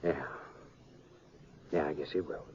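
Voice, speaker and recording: An actor playing an older small-town American sheriff, folksy and halting, in a 1950s Western radio drama. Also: male voice, rumbling low, fairly even intonation, a little mellow. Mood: grave, regretful. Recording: muffled, noisy 1950s radio recording.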